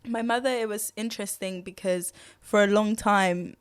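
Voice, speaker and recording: A woman's voice: mid-range voice, fairly even intonation, moderate pace, clear, resonant tone, no echo. A young woman guest on a podcast, speaking candidly and thoughtfully, with frequent fillers and restarts and easy laughter. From grew up partly in Zimbabwe and London. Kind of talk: candid reflective conversation.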